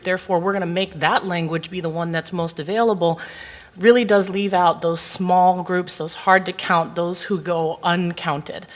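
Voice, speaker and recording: A woman whose first language is Hawaiian, a committee member pressing an urgent, earnest question at a meeting in long winding sentences. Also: lowish voice. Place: meeting room.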